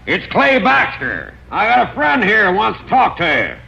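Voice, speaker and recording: An earnest rancher in a vintage radio play. His gravelly voice is bright and forceful and moves widely in pitch. The recording sounds dull and old. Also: wildly swooping pitch, normal speed, exaggerated voice. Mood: calling out. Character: earnest, calm male rancher, dead man's brother. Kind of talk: old-time radio drama acting.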